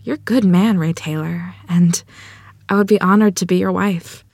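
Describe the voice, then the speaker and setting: Woman acting gentle young country bride. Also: lowish voice, fairly even intonation, normal speed, creaky, dry recording, studio quality. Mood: sincere. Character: gentle, sincere young 1920s rural bride. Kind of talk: screenplay table read acting.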